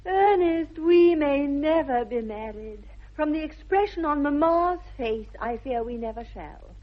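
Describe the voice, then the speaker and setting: A woman's voice: high-pitched, animated, unhurried. A woman acting an imperious, romantic young society lady with an upper-class English accent, precise and theatrical in a 1960s radio comedy. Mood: grandly devoted. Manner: precise, commanding, grandly theatrical. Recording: muffled vintage radio recording.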